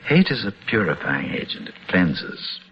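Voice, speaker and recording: A sadistic villain in a muffled old radio drama with music behind him. His husky, lowish voice is mocking and pompous, relishing every precise word as he gloats over the chessboard. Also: big pitch swings, moderate pace, heavily acted. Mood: mocking, gloating. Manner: mocking, theatrical, precise formal diction.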